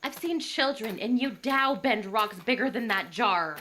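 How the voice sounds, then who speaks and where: A woman playing a bossy, hot-tempered young bodyguard in a visual novel, with a sharp, scolding manner. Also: on the high side, animated, a little slow, a crowd in the background. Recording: music behind the voice.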